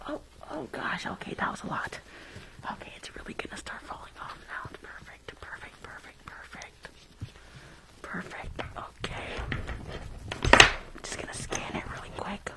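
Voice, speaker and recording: A woman whispering close to the mic in an ASMR roleplay as a rude dentist, halting and repeating herself with dismissive reassurance. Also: pushed and bright, low-quality recording. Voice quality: breathy whisper with creaky edges.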